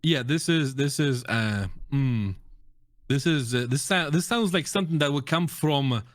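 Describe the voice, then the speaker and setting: A man's voice: mid-range voice, expressive, a little slow. A man from Russia, a native Russian speaker, giving casual, jokey commentary as a guest reviewer of game voice lines. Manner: informal, jokey, peppers talk with laughs. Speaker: guest content creator, translator and linguist.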